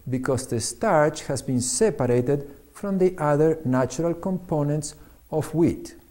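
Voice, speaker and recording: A man from Argentina, reading a prepared diet talk in a measured, instructive way. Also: fairly high pitch, dark tone. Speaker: doctor giving diet advice.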